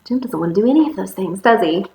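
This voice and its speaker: A woman teacher reading a picture book aloud in an animated, chatty way, with casual asides about the pictures. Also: medium pitch, fast talker, roomy sound, a little hiss or hum. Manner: expressive, chatty commenting on the pictures.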